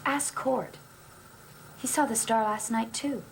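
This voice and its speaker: An actress playing a calm, cryptic wife in a gothic television soap opera. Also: female voice, fairly high pitch, slow, vocal fry, heavy background noise. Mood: cryptic, knowing.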